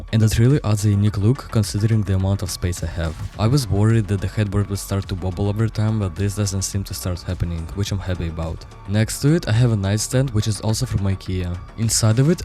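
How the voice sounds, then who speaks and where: Fast-talking Ukrainian-accented teen boy. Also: lowish voice, mellow. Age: teens. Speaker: vlogger. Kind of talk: scripted room tour voice-over.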